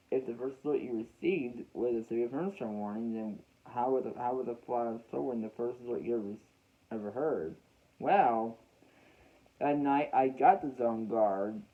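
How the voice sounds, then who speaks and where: A young American man speaks in a mid-pitched voice through a dull, muffled home recording. He tells his story casually to viewers without a script. He often restarts and repeats phrases as he finds his way. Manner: halting, repeats and rephrases phrases. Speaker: weather radio hobbyist vlogger.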